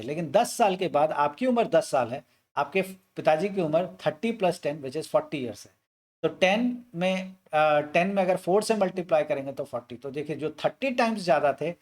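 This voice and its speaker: A man teaching maths, walking through an age word problem step by step and asking and answering his own questions. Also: high voice.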